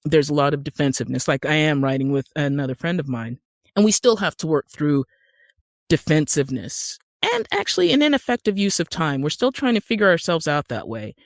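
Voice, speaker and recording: A woman's voice: deep voice, sing-song, brisk, no room sound. Podcast guest: American woman, conversational, explains step by step, very dry close recording. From Utah, United States. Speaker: podcast guest, screenwriter and actor. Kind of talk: conversational interview.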